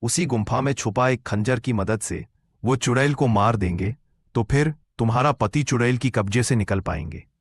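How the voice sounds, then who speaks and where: A man playing a grave holy man recounting a cartoon tale in a measured, explanatory way. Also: mid-range voice, slightly rough, no room sound, natural voice. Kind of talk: Hindi cartoon story narration.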